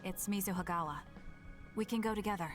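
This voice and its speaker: A woman voicing a composed, resolute young soldier in a video game drama, guarded but caring, with a cool and deliberate delivery. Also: mid-range voice, lightly acted. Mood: reserved. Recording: loud music behind the voice. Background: background music.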